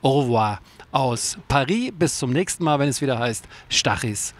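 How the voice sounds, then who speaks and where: A man's voice: medium pitch, expressive, moderate pace. A man presenting a tennis web show on location, chatting casually and colloquially straight to the viewers. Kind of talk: casual on-location reporting to camera. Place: outdoors at a tennis tournament. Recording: clearly noisy outdoor location sound.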